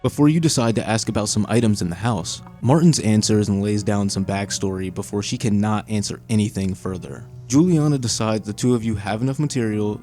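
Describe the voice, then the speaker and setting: Low, mellow male storyteller over music. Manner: suspenseful second-person storytelling. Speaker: narrator of a video essay on horror games. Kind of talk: scripted storytelling narration.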